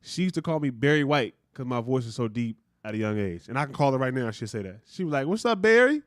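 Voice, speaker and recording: Man, mid-pitched deep voice, animated, slangy, dry close sound. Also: quick pace, no room sound. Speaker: podcast co-host. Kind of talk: casual chat.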